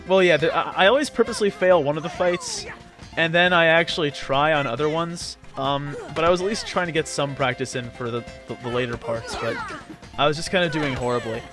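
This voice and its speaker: A man giving casual video game commentary, explaining in a halting, rambling way with sarcastic jokes. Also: on the high side. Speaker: let's play host and player. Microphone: voice-over commentary recorded over game footage. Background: game music.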